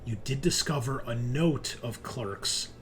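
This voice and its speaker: A man's voice: mid-range voice, slow, a little hiss or hum. A man narrating a tabletop horror roleplaying game as game master, in a conversational, descriptive, improvised way.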